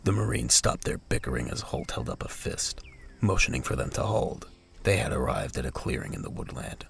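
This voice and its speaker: A man's voice: extremely low pitch, gravelly, background noise. A man giving scripted story narration for an audio drama as its narrator.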